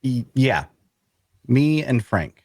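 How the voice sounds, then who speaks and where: Guarded, grumpy male wanderer played by a man, unhurried and dry with wide pitch movement, close dry sound, fantasy audio drama. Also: big pitch swings, a little mellow, breathy edge, no echo, heavily acted. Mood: evasive, dry. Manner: dry, curt, hesitant. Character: guarded, grumpy, evasive loner male traveler. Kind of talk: dark fantasy audio drama table read.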